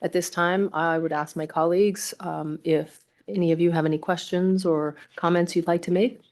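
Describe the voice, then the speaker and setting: A woman's voice: on the low side, fairly even intonation, moderate pace, a little mellow. A woman chairing a formal public meeting in a procedural, courteous manner. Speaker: commission chair.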